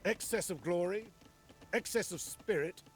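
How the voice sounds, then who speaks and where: An actor playing a grave, pious Spartan leader in a video game, speaking in a measured, formal, admonishing way. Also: male voice, sits high. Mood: stern caution. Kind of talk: dramatic video game acting. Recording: music behind the voice.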